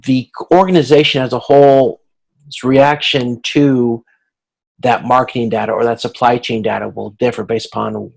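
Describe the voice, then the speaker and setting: A man gives informal class feedback as an instructor. His voice has heavy vocal fry and a gravelly grain, at a middling pitch. He explains things conversationally, in a halting way that starts and stops as he works through each point. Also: steady tone, a little mellow, poor audio. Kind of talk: informal recorded lecture in a video conference.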